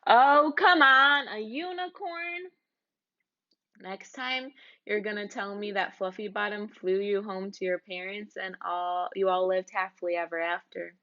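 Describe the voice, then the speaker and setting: A woman's voice: mid-range voice, measured pace, pushed and bright, highs cut off. A woman voicing an exasperated, fussy storybook narrator who scolds and argues with children. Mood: sarcastic. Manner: indignant, insistent, scolding. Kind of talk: storybook read-aloud.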